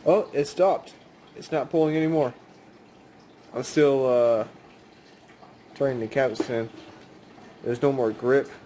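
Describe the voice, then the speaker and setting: A man's voice: medium pitch, fairly even intonation, slow, long pauses, dark tone, slight background noise. A man giving a casual, rambling hands-on demonstration in a conversational way. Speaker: video maker demonstrating cassette tapes.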